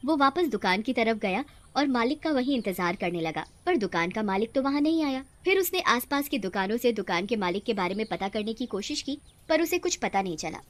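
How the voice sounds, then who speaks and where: A woman's voice: a little high, animated, a little fast, few pauses. A woman narrating a scripted moral story as a storyteller.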